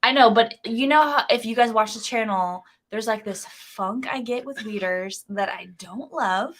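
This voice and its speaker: A woman's voice: a little high, normal speed, a bit bright. A woman co-hosting a casual whiskey livestream, talking in a candid, earnest and slightly self-conscious way.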